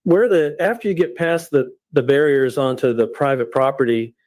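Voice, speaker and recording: A synthetic male voice playing a defense attorney, questioning a witness in a measured, methodical way. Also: mid-range voice, darkish tone, no room sound, lightly acted. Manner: measured, methodical cross-examination. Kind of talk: synthetic courtroom reenactment.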